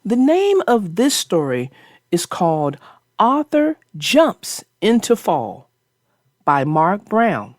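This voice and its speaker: Slow, expressive woman storyteller. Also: mid-range voice, no echo. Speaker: children's storybook narrator and channel host. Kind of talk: read-aloud storytelling for young children.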